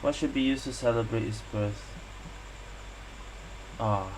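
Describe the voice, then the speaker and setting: Woman streamer for a playthrough: very deep for her sex, offhand, thinking aloud, noisy mic. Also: measured pace, a little mellow. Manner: muttering reactions, thinking aloud. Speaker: gamer playing and reacting. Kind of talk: casual game commentary. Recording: noisy home mic with hum.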